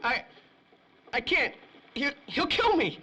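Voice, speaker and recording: A man's voice: extremely high pitch, big pitch swings, slow, bright, forceful tone, exaggerated voice, poor audio. An actor playing a nervous, shifty small-time crook and informant in a 1930s-style radio crime drama, with whiny, slangy wise-guy talk.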